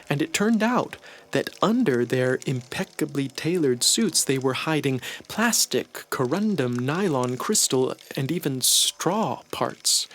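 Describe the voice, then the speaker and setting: A man reading a satirical literary story as a narrator, in a measured, expressive storytelling manner. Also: medium pitch. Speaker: audiobook narrator. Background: music.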